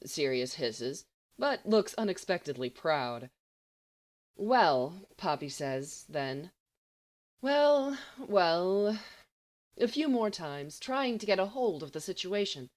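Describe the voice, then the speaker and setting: Expressive woman reading fiction, lively pitch. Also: unhurried. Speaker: audiobook reader. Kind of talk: expressive scripted fiction narration.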